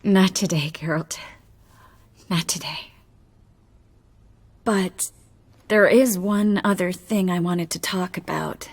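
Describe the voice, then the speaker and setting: A slow-spoken, husky young sorceress. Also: female voice, fairly low pitch, exaggerated voice. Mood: weary, subdued. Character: resolute, weary young sorceress, wry and soft-spoken. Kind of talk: video game voice acting.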